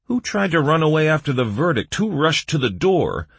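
A man's voice: fairly high pitch, very animated, moderate pace, vocal fry, slightly rough, no room sound, voice pushed up, full character voice. A man voicing an exasperated prisoner who mockingly berates his companions with needling questions in a fantasy audiobook. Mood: accusing.

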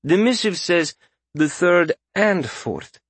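A male narrator voicing Akris, a dutiful soldier giving a formal, brisk report. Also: high voice, animated, husky, dry recording, pitched above the performer's own voice, full character voice.

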